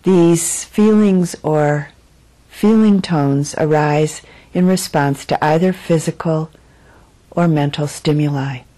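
A woman teaching meditation, speaking in measured, deliberate phrases with frequent pauses as she guides a reflection. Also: low voice, dark tone. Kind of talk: guided meditation, dharma talk.

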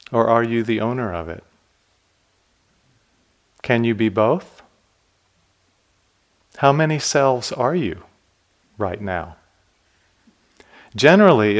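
A man teaching meditation in a dharma talk, calm and reflective, putting questions to his listeners. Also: medium pitch. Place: retreat center hall.

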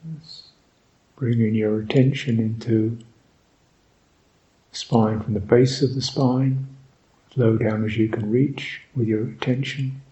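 A man guiding a meditation as a Buddhist teacher, speaking reflectively in short, halting phrases with long pauses. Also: medium pitch, steady tone, dark tone, muffled recording. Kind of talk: guided meditation, unscripted and reflective.

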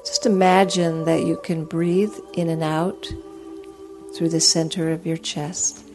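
A woman leading a guided meditation as a teacher, speaking in a soothing, gentle, unhurried way with long pauses. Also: sits low, level tone, over music.

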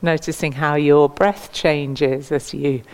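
A woman with a low-pitched voice leads a guided mindful movement practice. She speaks as a teacher, gently and without hurry. Her instructions come as invitations, with pauses left between them. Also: fairly even intonation, smooth, low-quality recording.

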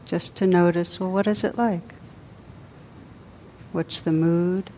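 A woman with a fairly low voice leads a guided meditation. She speaks softly and without hurry, leaving long pauses between her phrases. A faint hiss sits under the recording. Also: steady tone. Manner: soft, unhurried, with long pauses. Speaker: meditation teacher.

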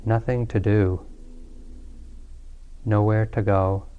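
A man leading a guided meditation as a teacher, speaking calmly and soothingly with long pauses. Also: lowish voice, clear, resonant tone, narrow, tinny audio. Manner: calm, unhurried, soothing delivery with long pauses.

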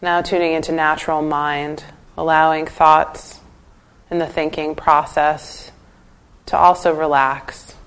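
A woman leading a guided meditation as a teacher, giving calm, gentle instructions with pauses. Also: sits low, smooth.

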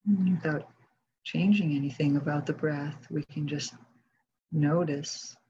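A woman leading a guided meditation as a teacher, speaking calmly and gently with long pauses in a soft, husky voice. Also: low voice. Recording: dull, muffled lo-fi recording.

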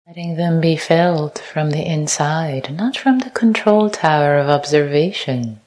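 A woman teaching a guided meditation, speaking softly and calmly in short repeated phrases, often close to a whisper. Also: low-pitched, a little slow. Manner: soft, breathy, often near-whispered delivery. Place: meditation retreat talk.

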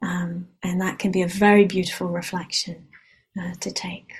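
A woman whose first language is Hebrew, a meditation teacher giving a gentle, unhurried dharma reflection and often checking in with a soft "yeah?". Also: on the low side, level tone, breathy edge. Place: meditation retreat hall.

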